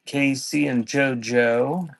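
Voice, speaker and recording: A man running a casual live-stream sale, talking in an offhand, halting way and thanking viewers by name. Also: medium pitch, fairly even intonation, vocal fry, no room sound. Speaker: live online seller.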